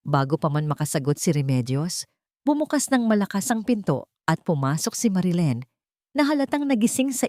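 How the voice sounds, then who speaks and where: A woman's voice: fairly low pitch, warm tone, no echo, studio quality. A woman narrating a scripted dramatic story.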